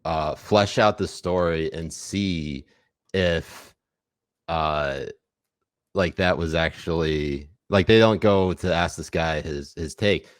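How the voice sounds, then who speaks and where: A man co-hosting a political podcast, his voice very low and deep. He talks in a wry, profane way, stumbling through fillers and restarts. The sound is dry and close. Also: slow, no room sound. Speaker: podcast co-host. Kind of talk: casual political podcast chat.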